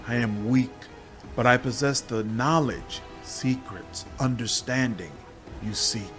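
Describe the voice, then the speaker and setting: A suffering, hungry demon made of countless insects, played by a man, speaks as game dialogue. It is very slow and halting, setting words out one at a time at a middling pitch, with music underneath. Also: a bit bright. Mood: pleading. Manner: halting, intoning lists of synonyms one by one. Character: many-bodied demon insect swarm, female, captive, hungry, suffering.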